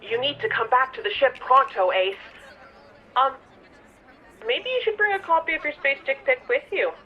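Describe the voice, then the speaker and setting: Woman as high, urgent spaceship over muffled link. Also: lively intonation, normal speed. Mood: urgent, hesitant. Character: anxious, urgent sentient spaceship calling its detective. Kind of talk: sci-fi satire audio drama. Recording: muffled telephone-like call audio.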